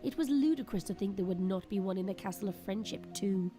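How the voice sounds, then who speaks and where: A woman giving dramatic scripted narration for an audio drama, expressive and suspenseful. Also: medium pitch, quick pace. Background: prominent background music.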